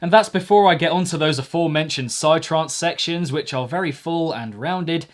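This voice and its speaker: Higher-voiced man giving a music review. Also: normal speed. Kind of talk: spoken music review, semi-scripted commentary.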